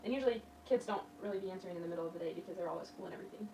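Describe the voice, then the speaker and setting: A woman's voice: fairly low pitch, racing, gravelly, warm tone. A young woman chatting casually with others. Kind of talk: casual chat. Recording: roomy, distant mic, noisy background.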